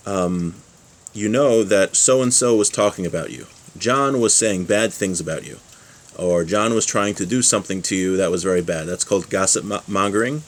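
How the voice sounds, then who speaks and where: A man giving an informal religious lesson, reading from a text and explaining it with small check-in questions. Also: fairly low pitch. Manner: explanatory, with rhetorical check-ins like "right?". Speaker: religious teacher giving a class.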